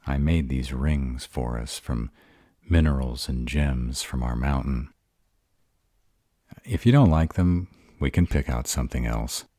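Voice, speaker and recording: A man voicing a bashful, awkward male were-dragon in love, halting and earnest, in a romantic roleplay audio. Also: extremely low pitch, dark tone, no room sound, clean studio sound. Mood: nervous, earnest.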